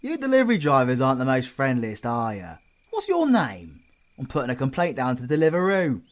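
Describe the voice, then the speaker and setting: A man's voice: mid-range voice, wildly swooping pitch, fairly quick. A British man playing a cocky, sarcastic, menacing supervillain in an audio roleplay. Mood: cocky, mocking. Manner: sarcastic, theatrical, foul-mouthed British slang. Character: cocky, taunting supervillain, flippant yet menacing killer. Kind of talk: ASMR audio roleplay acting.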